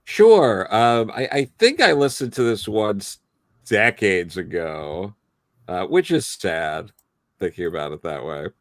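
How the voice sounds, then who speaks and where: Low voiced dude, real slow and hesitant, wanders around, casual podcast chat, dry close sound. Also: male voice, expressive, dry recording. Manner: hesitant, meandering. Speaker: podcast panelist.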